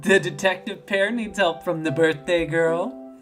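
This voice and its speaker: Man doing a high, sassy cartoon hedgehog girl. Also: fairly even intonation, a little slow, music in the background. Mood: sassy, determined. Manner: bubbly, theatrical, self-assured. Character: perky, sassy, confident young female cartoon hedgehog.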